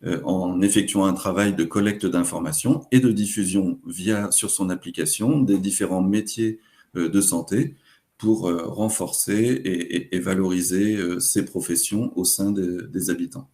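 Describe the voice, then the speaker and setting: A man presenting a webinar, explaining examples in a hesitant, halting way. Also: low voice, steady tone, dark tone.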